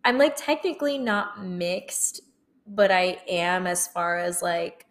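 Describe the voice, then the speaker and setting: A woman's voice: lowish voice, fairly even intonation, slow pace. A young woman, hosting a casual personal conversation in an affirming, chatty way. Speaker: podcast host.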